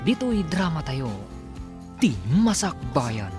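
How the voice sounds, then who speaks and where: A man's voice: a little high, big pitch swings, slow, over music. A man with a Filipino accent narrates and announces a radio drama serial in a dramatic scripted style.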